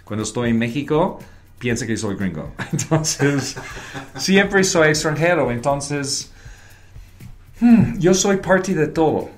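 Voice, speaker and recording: A man's voice: a little high, expressive, slow. A man who grew up in Wales, a native English speaker with a British accent, answering interview questions in non-native Spanish with short declarative sentences and the odd English word. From Wales, Britain. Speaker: guest, advertising creative and professor. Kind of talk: reflective interview conversation.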